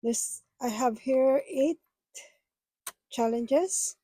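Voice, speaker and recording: A woman whose first language is Filipino talks to her viewers in a slow, unhurried way, often stopping and restarting her sentences. Her voice sits a little high but sounds mellow and breathy. The recording is close and dry, with almost no room sound. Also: no echo. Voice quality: halting, hesitant phrasing. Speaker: budgeting vlogger. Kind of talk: casual chat with viewers.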